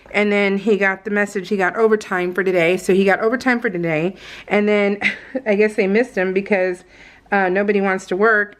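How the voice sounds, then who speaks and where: Woman craft vlogger, mid-pitched, informal and meandering, giggly conversational delivery. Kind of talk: casual rambling chat over scrapbook pages.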